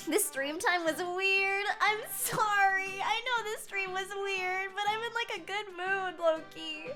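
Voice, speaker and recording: A young woman streamer talks in a high, bright-pitched voice at an easy, unhurried pace. She is chatty and rambling, breaking into quick laughs as she answers chat on a casual live stream. Soft music plays faintly behind her.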